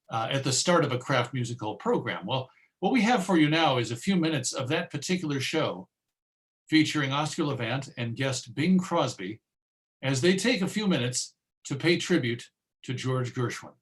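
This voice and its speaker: A man presents an informal lecture in a voice of average pitch. His delivery is conversational and somewhat halting, as he explains things in the role of presenter. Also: animated. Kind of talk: informal audio-visual lecture.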